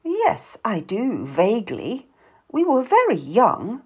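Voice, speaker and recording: A woman's voice: a little high, wildly swooping pitch, slow pace, husky, voice pushed up, full character voice. A woman voicing a composed, sceptical genteel lady, cool and unconvinced, in an audiobook story.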